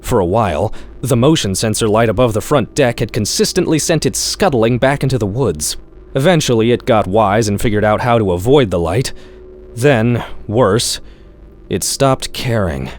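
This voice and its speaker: A man's voice: lowish voice, animated, moderate pace. A man reading a scripted short story in a wry, sardonic storytelling manner, like a horror-comedy audiobook. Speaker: author-narrator reading own short story.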